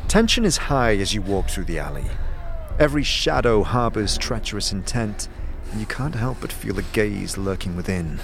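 Casting: male audio-drama narrator, theatrical and intense, lively pitch movement, music underneath. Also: moderate pace. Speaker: narrator and performer. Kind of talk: dramatic scripted second-person fantasy narration. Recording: clear music bed behind voice.

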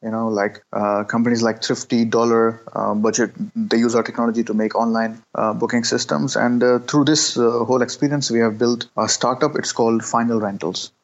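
Hesitant Pakistani man with a flat voice. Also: mid-range voice, brisk, hardly any pauses. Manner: hesitant, many fillers and restarts. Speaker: guest, entrepreneur. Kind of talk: casual interview answers. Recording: dull lo-fi sound.

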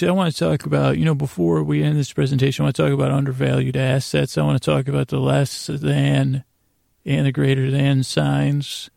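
A man hosting a bedtime podcast in a creaky, gravelly voice, meandering and halting, trailing off and circling back with deadpan goofy nonsense. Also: fairly high pitch, dry recording, clean studio sound. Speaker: podcast host. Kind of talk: rambling bedtime sleep podcast monologue.

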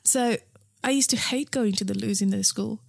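A woman's voice: fairly high pitch, normal speed, gravelly, dry recording, studio quality. A woman from Nepal, speaking as an interview guest in a frank, chatty way with many fillers and restarts. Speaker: interview guest, founder of a period underwear brand. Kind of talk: casual interview conversation.

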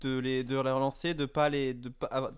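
A young man with a clean, smooth voice at a middle male pitch. He talks casually and slangily, as a podcast co-host chatting with a friend. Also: flat delivery, fairly quick. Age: young adult. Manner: informal, slangy. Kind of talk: casual conversation.

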